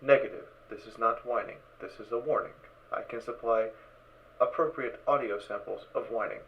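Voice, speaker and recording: Man playing a deadpan spacesuit computer, mechanical, formal, clipped, audio drama, muffled and away from the mic. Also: mid-range voice, moderate pace, close to an everyday voice. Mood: warning, insistent. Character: literal-minded male computer voice of a smart spacesuit. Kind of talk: fan audio drama character acting. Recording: dull, distant, noisy sound.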